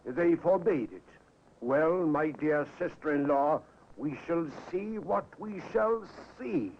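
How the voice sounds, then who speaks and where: An actor playing a stern, suspicious Victorian gentleman in a 1950s radio drama, curt and authoritative. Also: on the high side, expressive, takes it slowly. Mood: suspicious, accusing. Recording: muffled, noisy 1950s radio recording.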